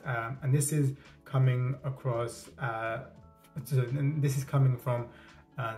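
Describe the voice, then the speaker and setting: A man in his thirties talks to the camera in a small room, stuttering through repeated words and restarts. His speech is slow and unhurried, at a middle pitch. Soft guitar music plays faintly behind him. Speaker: vlogger. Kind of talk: casual talking-to-camera vlog.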